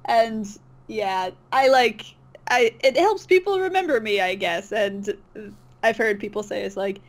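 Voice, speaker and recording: Female guest, slightly high voice, unhurried, agreeable, tentative casual chat. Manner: hesitant, agreeable, trailing off. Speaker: interview guest, online comic dub creator.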